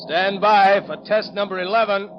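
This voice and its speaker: Frantic navy officer, a male actor, very high strained voice, old muffled radio sound. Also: fairly even intonation, moderate pace, slight background noise, lightly acted. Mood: urgent. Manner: urgent, clipped commands. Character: urgent male naval officer. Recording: dull old radio recording with music.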